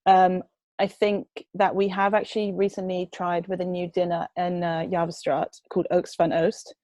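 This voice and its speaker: Giggly young woman with a low, dry voice fielding questions on a call. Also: little pitch movement, normal speed, darkish tone, dry recording. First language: not Dutch. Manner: chatty, laughs often, hesitant. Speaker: presenter of a food waste charity. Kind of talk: online Q&A answering. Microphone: video call.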